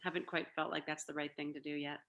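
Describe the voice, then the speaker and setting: Chatty woman in her forties, bright. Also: low voice, flat delivery, fast talker, highs cut off. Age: 40s. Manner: chatty, rambling, with many fillers. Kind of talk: casual video-call chat.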